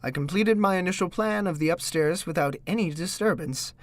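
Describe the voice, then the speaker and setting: An actor playing a pompous, earnest gentleman decorator, telling his gothic comedy tale in a theatrical, melodramatic manner. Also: sits high, a little fast, dry recording, natural voice. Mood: tense storytelling. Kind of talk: theatrical first-person gothic comedy narration.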